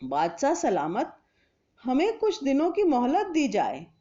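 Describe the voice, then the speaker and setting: A woman's voice: high-pitched, wildly swooping pitch, a little slow, warm tone, dull audio, pitched above the performer's own voice, exaggerated voice. A woman voicing anxious, fawning male royal courtiers in a storybook, with a deferential, pleading delivery.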